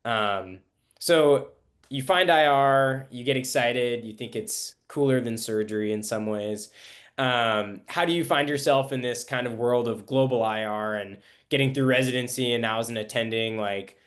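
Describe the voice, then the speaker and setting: A man's voice: medium pitch, moderate pace. A young man interviewing a guest on a podcast in a conversational style, speaking as the host.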